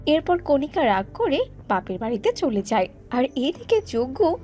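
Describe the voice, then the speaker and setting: A woman's voice: high-pitched, sing-song, unhurried, mellow, muffled recording, faint music behind. Woman storyteller narrating a cartoon fable. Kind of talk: scripted children's story narration.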